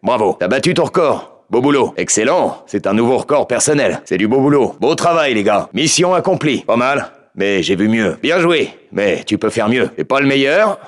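An actor playing a gritty, commanding special-forces lieutenant in a video game, giving terse, clipped orders. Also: male voice, medium pitch, big pitch swings, moderate pace, close to an everyday voice. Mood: low, firm. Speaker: squad leader. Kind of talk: video game combat voicelines, French dub.

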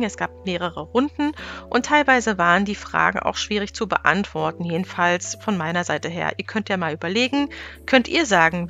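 A woman's voice: medium pitch, fairly bright tone. A woman narrating her family travel vlog in a scripted, chatty voice-over, telling it plainly with small restarts. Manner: chatty, matter-of-fact storytelling with small restarts. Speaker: travel vlogger. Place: voice-over, recorded apart from the pictured scenes.

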